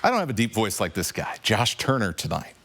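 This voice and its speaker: Deep-voiced weather guy on morning tv, easygoing, chatty, kinda low. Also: brisk. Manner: relaxed, conversational, with fillers. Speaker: TV meteorologist. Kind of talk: live weather forecast chat. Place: TV news studio.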